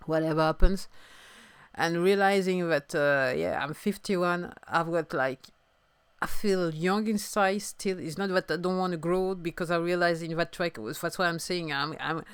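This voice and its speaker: A woman's voice: on the low side, clear, resonant tone, no echo. Reflective French-accented woman in her fifties. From France. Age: 50s. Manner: halting, searching for words, soft and musing. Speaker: singer-songwriter podcaster. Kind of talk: reflective solo podcast talk.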